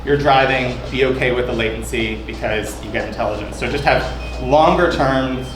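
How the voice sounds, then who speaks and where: A man's voice: mid-range voice, moderate pace. A man giving a loose, chatty presentation of his app, with lots of fillers and restarts. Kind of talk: casual demo talk. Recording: echoey room with background noise.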